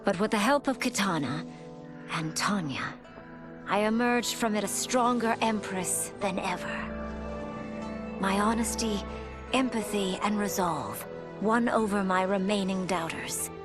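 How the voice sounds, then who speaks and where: A proud, resolute empress played by an actress recounts her reign with regal formality. Her mid-pitched voice moves slowly and deliberately over music, as in a video game cutscene. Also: lightly acted. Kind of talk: scripted video game ending narration.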